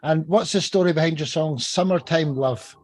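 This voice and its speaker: A man's voice: fairly high pitch, moderate pace, darkish tone. A man hosting a podcast, introducing guests in an upbeat, friendly way. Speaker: podcast host.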